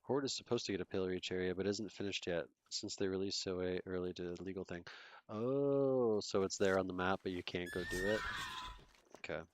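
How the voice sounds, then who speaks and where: A man's voice: deep voice, a little fast. A man with a Toronto Canadian accent, chatting in a laid-back way as he streams a game and answers his chat. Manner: laid-back, chatty, trails off mid-sentence. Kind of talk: casual chat while gaming, reading chat.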